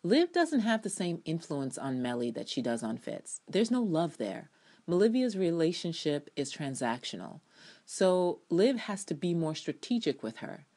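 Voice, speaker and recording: A woman with a low-pitched, very expressive voice reads her own review aloud as a dramatic piece. She is opinionated and sardonic, slipping from scripted lines into casual, salty asides with theatrical emphasis. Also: normal speed.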